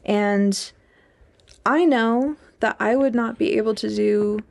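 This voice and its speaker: Young woman podcaster with vocal fry. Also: fairly high pitch, slow pace, a little mellow. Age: young adult. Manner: conversational, affirming, frequent "like". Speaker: podcast co-host, English PhD student. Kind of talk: casual podcast chat.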